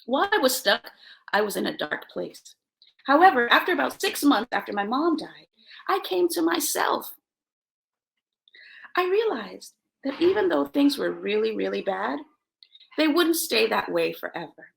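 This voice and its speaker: A woman from Jamaica hosting a self-help show, giving motivational talk and personal stories in an emphatic, expressive way, repeating key words for effect. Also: a little high, moderate pace, vocal fry, poor audio.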